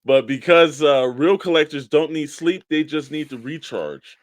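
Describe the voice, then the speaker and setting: A man's voice: on the high side, normal speed, no room sound. A man co-hosting a toy collecting show, giving promotional reads and casual plugs.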